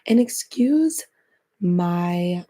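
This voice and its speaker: Woman host, husky and raspy after illness, warm lowish voice, casual self-help talk. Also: lively intonation, extremely slow. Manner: chatty, encouraging, repeats phrases. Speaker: podcast host.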